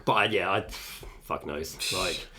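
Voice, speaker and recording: A man's voice: deep voice, a little slow, bright, forceful tone. A man co-hosting a podcast, chatting casually about films around a kitchen table, swearing freely. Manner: sweary, laid-back film chatter. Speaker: podcast co-host. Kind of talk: casual chat. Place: home kitchen.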